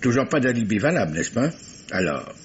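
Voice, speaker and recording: A man's voice: mid-range voice, very animated, fairly quick. A wily police commissioner in an old radio mystery. His intonation swings widely as he probes with hesitant, stammering politeness. The sound is dull, with faint hiss. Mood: dry, needling. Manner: hesitant stammering courtesy, probing. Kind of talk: 1950s French radio mystery acting.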